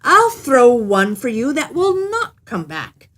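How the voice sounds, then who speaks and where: Woman as a cocky little tailor, pitched high. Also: wildly swooping pitch, slow pace, a bit bright, exaggerated voice. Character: little male tailor, cheerful, cocky, boastful trickster.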